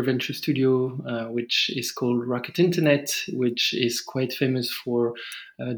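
A man from France with a French accent, a startup founder speaking as a podcast guest in a thoughtful, measured way with frequent pauses and fillers. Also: mid-range voice. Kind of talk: podcast interview over a call.

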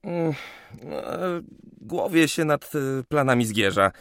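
A man's voice: a little high, expressive, takes it slowly, creaky, a bit bright, no room sound, clean studio sound, heavily acted. A man playing a shy, polite young land surveyor in a radio play, modest and hesitant in manner. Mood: flustered.

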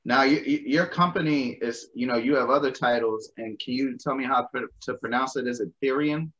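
A man's voice: medium pitch, brisk, a bit bright. A man hosting a casual interview, informal and slangy, stammering over repeated words, on slightly dull audio. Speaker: interview host.

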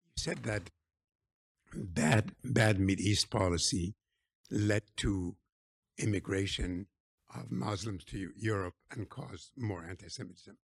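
A man's voice: fairly low pitch, takes it slowly, gravelly, husky, no echo. A man in an audience putting a question to a speaker. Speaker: audience member asking a question.

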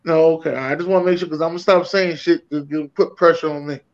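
High-voiced man hosting a lo-fi sports chat. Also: steady tone, fast talker. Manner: playful, teasing, hypes people up. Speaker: podcast host. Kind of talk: casual sports talk. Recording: dull lo-fi sound.

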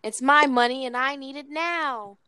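A woman's voice: high-pitched, moderate pace, pushed and bright, no room sound. A woman in her twenties chatting casually in a brash, blunt and boastful way. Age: 20s. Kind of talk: casual chat.

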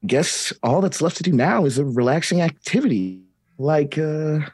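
A man's voice: fairly high pitch, lively intonation, normal speed, a little mellow, slightly rough, pitched above the performer's own voice. A man playing a cheery adult host of a kids' show, talking to the viewer in an upbeat voice. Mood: cheerful. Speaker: actor in a table read.

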